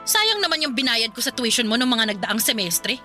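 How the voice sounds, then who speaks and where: A woman's voice: fairly high pitch, very animated, fairly quick, pushed and bright, soft background music. A woman playing a stern, sarcastic older sister who scolds her younger brother in a radio drama. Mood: scolding. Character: stern older sister Terry, sharp-tongued.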